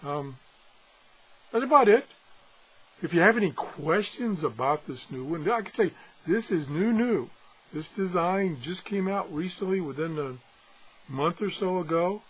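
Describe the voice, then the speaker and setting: A man's voice: sits high, animated, a little slow, pauses often, husky, poor audio. A man giving a casual, unscripted product demonstration as a reviewer, rambling and thinking aloud with broken-off asides. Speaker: radio reviewer.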